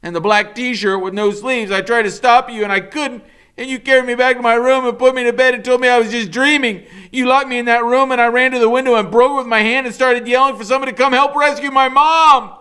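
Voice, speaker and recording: A man's voice: very high voice, fairly even intonation, fairly quick, bright, forceful tone, clear, resonant tone, voice pushed up. A man voicing a frightened but defiant young boy in an audiobook, speaking urgently and insistently. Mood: urgent, accusing. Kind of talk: dramatic audiobook character reading.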